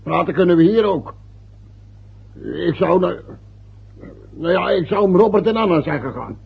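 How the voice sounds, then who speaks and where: A man's voice: high voice, normal speed, vocal fry, husky, exaggerated voice. An actor playing a dry, sarcastic man who needles his brother-in-law in curt remarks, in a vintage radio play. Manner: sardonic, curt. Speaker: the wife's brother. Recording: dull lo-fi old radio recording.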